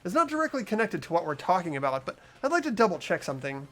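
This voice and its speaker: Lively-voiced host reading a game hero. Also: mid-range voice, fast talker, faint music behind. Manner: steady deductive reading, laughs between lines. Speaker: host and lead voice actor of a playthrough. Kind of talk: narrating and voicing a game protagonist, casual chat.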